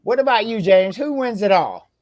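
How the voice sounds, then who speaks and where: A man's voice: sits high, expressive, normal speed, darkish tone. A man from the American South, hosting a casual sports podcast and rambling easily with lots of hedges and fillers. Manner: rambling, hedging, easygoing. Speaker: podcast host.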